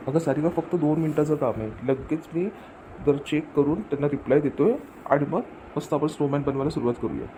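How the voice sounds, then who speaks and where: A man's voice: a little high, quick pace, dark tone, lightly acted, poor audio. A man playing a cheerful, devoted father in a cartoon family comedy, speaking in an affectionate, earnest way. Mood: explaining. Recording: clear background noise, ambience.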